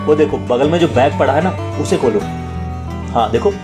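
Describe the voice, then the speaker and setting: A man's voice: mid-range voice, moderate pace, music in the background, lightly acted. A man playing an emotional merchant and devoted friend in an audio drama, speaking expressively. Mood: warm, grateful. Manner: expressive, repeats words emphatically. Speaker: voice actor. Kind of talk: audio drama acting in Hindi.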